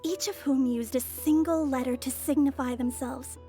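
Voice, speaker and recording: Woman as mysterious foreign traveler, high voice, smooth, teasing, composed, game scene, quiet music. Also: fairly even intonation, normal speed, close to an everyday voice. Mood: sly.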